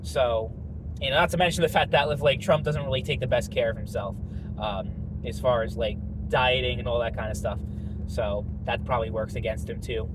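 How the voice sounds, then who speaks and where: A man's voice: medium pitch, steady tone, normal speed, noisy background. A young man giving a rambling, profane political opinion monologue, full of fillers. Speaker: political commentator.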